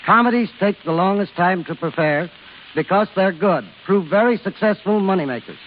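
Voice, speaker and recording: High-voiced man reading formally, old radio. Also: expressive, moderate pace. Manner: formal read delivery. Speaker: guest speaker, film studio executive. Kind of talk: scripted 1930s radio talk. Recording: muffled, hissy old radio recording.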